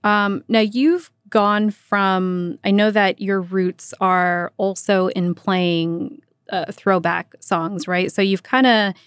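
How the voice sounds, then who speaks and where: An American woman with a mid-pitched voice speaks as a radio interviewer. Her way of talking is casual and conversational, dotted with "like". The recording is dry and studio-close. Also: steady tone, slow, clear, resonant tone, no room sound. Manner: casual, with "like" often thrown in.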